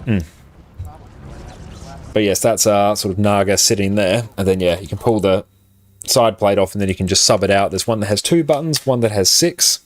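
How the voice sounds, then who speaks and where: A man with a very low voice, chatting casually and rambling with many fillers as he answers viewers on a live game stream, recorded on a compressed condenser mic at a home setup. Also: moderate pace. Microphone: condenser mic on an arm, compressed.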